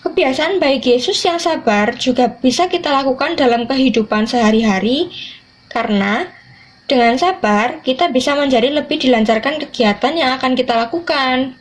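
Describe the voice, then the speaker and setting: Teenage female, high pitch, scripted educational narration, student presenter. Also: normal speed. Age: teens, high school student.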